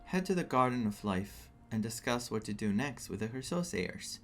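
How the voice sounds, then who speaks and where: A young man with a Greek accent streaming a game, chatting excitedly, laughing often and reading quest text aloud. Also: mid-range voice, moderate pace, soft background music.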